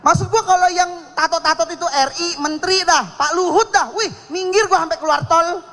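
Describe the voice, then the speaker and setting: A man's voice: very high-pitched, normal speed, a bit bright. A man doing a stand-up comedy set on a live contest stage, cocky and teasing, with audience laughter behind him. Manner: cocky and teasing, slips into regional voices.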